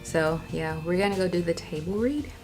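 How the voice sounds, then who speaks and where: A woman's voice: lowish voice, moderate pace, over music. An American woman talking candidly and reflectively in a casual personal vlog, repeating herself for emphasis. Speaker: vlogger.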